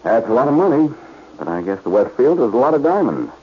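An actor playing a cocky, sardonic, wisecracking amateur detective in a 1940s radio drama. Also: male voice, mid-range voice, sing-song, quick pace, slightly rough. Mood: cool, probing. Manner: wisecracking, needling. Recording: muffled old-time radio recording.

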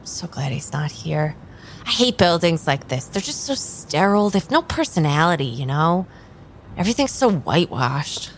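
Woman playing a reluctant, lower-voiced tarot reader. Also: sing-song, moderate pace, a little hiss or hum. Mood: disdainful. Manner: hesitant, sighing, muttering. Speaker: actor in improvised audio drama. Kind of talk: naturalistic improvised radio drama.

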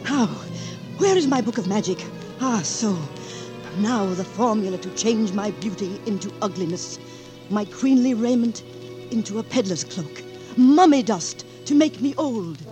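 Actress as imperious wicked queen over loud music. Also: medium pitch, expressive, unhurried, close to an everyday voice, rough recording. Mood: furious scheming. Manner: imperious, theatrical. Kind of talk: 1940s radio acting. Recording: vintage radio recording under loud music.

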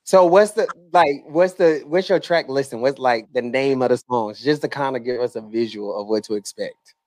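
A man's voice: medium pitch, moderate pace. A man co-hosting an interview in casual, slangy conversation, putting questions to the guest. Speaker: interview co-host.

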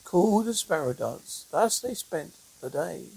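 Husky voice, gravelly creak, halting fairy-tale narration, stumbling amateur storyteller. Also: medium pitch, lively intonation, a little mellow, low-quality recording. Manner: halting, stumbling over words.

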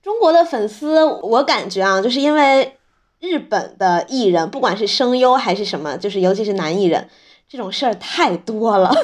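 A woman's voice: mid-range voice, expressive, moderate pace. A woman hosting a podcast, explaining things in a casual, chatty storytelling way. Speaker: podcast host.

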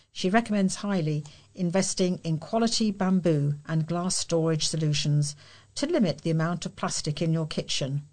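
A woman's voice: on the low side, a little mellow. A woman with a British accent reading a scripted article aloud as a volunteer news reader.

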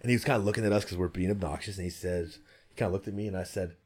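A man's voice: deep voice, racing, a little mellow. A man telling stories and arguing his views in casual chat, blunt, profane and emphatic.

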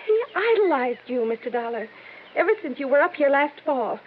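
A worried small-town mother in a vintage radio drama. Her voice is high, fretful and questioning. The sound is narrow and tinny, with faint hiss. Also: very animated, moderate pace. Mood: worried, reproachful. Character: anxious small-town mother of a missing boy. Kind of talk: 1950s radio drama acting. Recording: tinny, hissy 1950s radio broadcast recording.

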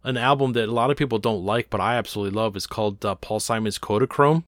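A man's voice: fairly low pitch, fast, no room sound, studio quality. A man hosting a casual conversation, earnest and emotional, often hesitating mid-sentence.

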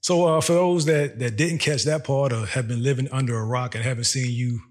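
Husky, upbeat podcast host man. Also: mid-range voice, steady tone, fairly quick. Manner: upbeat, friendly, chuckles often. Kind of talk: casual interview hosting.

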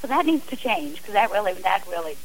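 A woman's voice: medium pitch, big pitch swings, fairly quick, rough recording. A woman speaking earnestly and emphatically as a guest in a radio interview. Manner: emphatic, impassioned.

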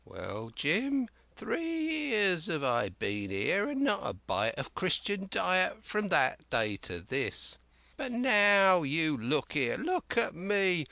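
A wild old castaway who rambles on eagerly in rustic dialect, his voice jumping high and swooping all over, as a male narrator puts him on. He talks slowly and brightly, close and dry. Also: huge pitch swings, gravelly, no echo, full character voice. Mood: rambling.

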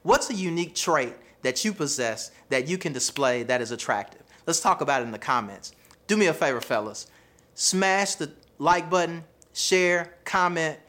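A man's voice: on the high side, animated, normal speed, a bit bright. A man with a Southern accent giving scripted self-help advice to viewers, calling them fam and y'all. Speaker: charisma coach.

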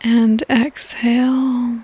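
A woman hosting a guided sleep meditation in a soft, breathy, partly whispered voice, with calm, gentle instructions and long pauses. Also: on the high side, low-quality recording. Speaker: podcast host and meditation guide.

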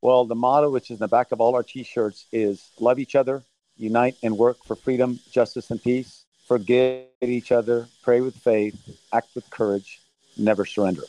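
A middle-aged man who grew up in Thailand talks earnestly about his relief work, keeping a steady, measured pace. His voice sits at an ordinary pitch for a man and is recorded close and dry, with no room echo. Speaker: relief mission leader. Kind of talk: remote interview answers.

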